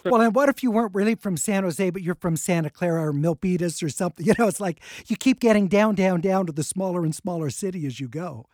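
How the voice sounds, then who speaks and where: A man's voice: high-pitched, quick pace, hardly any pauses, darkish tone, no room sound, clean studio sound. A man hosting a radio call-in show, chatting casually and reading listener comments aloud. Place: radio studio. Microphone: studio microphone.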